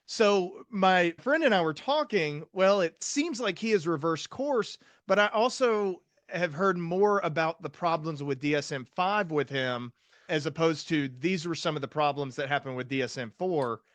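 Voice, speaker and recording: A man hosting a conversational interview as a fellow psychiatrist, speaking in a measured, thoughtful way. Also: a little high, a bit bright, no room sound. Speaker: podcast host, psychiatrist.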